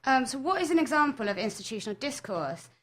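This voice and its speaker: A woman's voice: high voice, sing-song, quick pace. A young woman, a final-year student, presenting her research on a student radio show from a prepared script, addressing listeners directly. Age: young adult.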